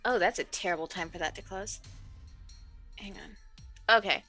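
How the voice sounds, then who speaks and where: A woman's voice: mid-range voice, moderate pace, pushed and bright, faint music behind. A woman playing in a tabletop game, chatting casually with the group.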